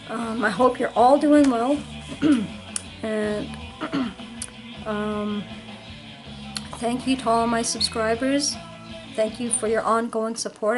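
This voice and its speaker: Hoarse chatty woman vlogger. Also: a little high, poor audio. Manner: chatty, rambling, many ums and restarts. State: hoarse, late-night tired. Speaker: vinyl collector vlogger. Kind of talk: casual show-and-tell vlog.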